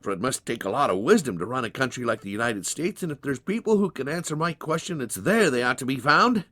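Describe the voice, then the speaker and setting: A man's voice: medium pitch, animated, fast talker, a bit bright, no room sound, clean studio sound. A man voicing an earnest, modest young small-town man with a folksy manner in a storybook reading. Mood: earnest, pondering.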